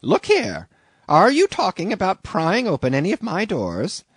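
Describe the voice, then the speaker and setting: A man voicing a bustling middle-aged landlady who scolds and frets in a shrill, exclamatory way. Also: wildly swooping pitch, normal speed, slightly rough, no echo, full character voice. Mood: scolding.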